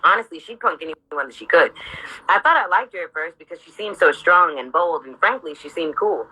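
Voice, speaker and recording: Woman, husky, sharp bright tone, informal author reading, candid, sardonic, profane, off-script asides. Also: on the low side, expressive, a little fast, low-quality recording. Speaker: author reading from own memoir. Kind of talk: informal read-aloud of memoir with off-script asides.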